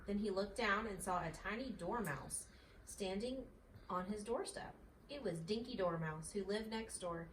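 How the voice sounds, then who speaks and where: A woman's voice: mid-range voice, moderate pace. A woman narrating a children's storybook aloud expressively, as a mother reads. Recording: roomy, distant mic, faint noise.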